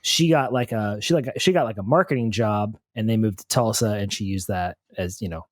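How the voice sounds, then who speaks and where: A man's voice: fairly low pitch, fairly quick, no echo, clean studio sound. A man playing a tabletop role-playing game, casually improvising and repeating words as he thinks aloud. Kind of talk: casual in-character improvised chat.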